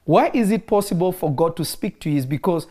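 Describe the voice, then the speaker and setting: A man who grew up in Kenya, preaching in a relaxed interview and driving his points home by repeating key phrases. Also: high-pitched, a little fast. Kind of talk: conversational preaching.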